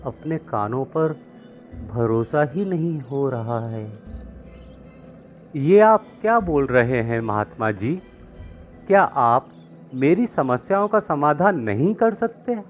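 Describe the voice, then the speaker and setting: A man's voice: mid-range voice, animated, slow pace, long pauses. A man voicing a distressed, complaining farmer in an acted moral story, pleading and dramatic. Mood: shocked, pleading. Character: distressed, complaining farmer seeking a holy man's help. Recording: muffled lo-fi with music behind.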